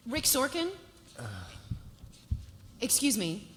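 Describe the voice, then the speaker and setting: A sharp-tongued, bossy female secretary at a TV drama table read. Her fairly high voice moves around a lot in pitch, echoing through a big hall. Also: sing-song, takes it slowly, heavily acted. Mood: brisk. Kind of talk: live table read of a TV script. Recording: noisy hall with long reverb.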